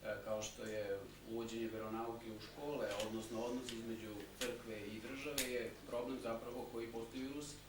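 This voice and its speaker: Brief: male conference interpreter, paraphrasing, far from the mic in a very reverberant hall with clear hiss. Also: medium pitch, little pitch movement, fairly quick, hardly any pauses. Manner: summarizing, loose paraphrase. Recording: very echoey hall, distant mic, audible hiss.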